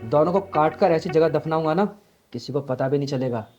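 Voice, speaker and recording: Man acting young man, fairly high pitch, dramatic short-film delivery, faint music. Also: a little fast, darkish tone, muffled recording, close to an everyday voice. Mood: threatening. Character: young man, tenant or son, melodramatic.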